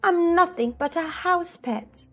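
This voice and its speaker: A woman voicing an eager male pet dog in a children's storybook, in a theatrical, expressive manner. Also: very high voice, slow, faint music behind, pitched above the performer's own voice. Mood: dejected. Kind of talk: children's storybook read-aloud.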